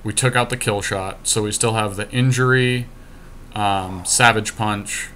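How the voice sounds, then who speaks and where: A man's voice: fairly low pitch, fairly even intonation, a little slow, sharp tone. A man giving casual, matter-of-fact commentary on a game as a podcast co-host, now and then mildly profane. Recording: noisy amateur recording.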